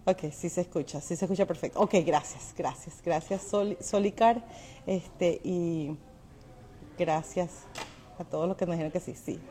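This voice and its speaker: A woman's voice: on the low side, fairly even intonation, normal speed, warm tone. A woman hosting a casual live interview, chatty and informal, repeating words for emphasis. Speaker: live show host. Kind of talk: casual livestream interview chat. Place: restaurant. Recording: faint background noise of a restaurant.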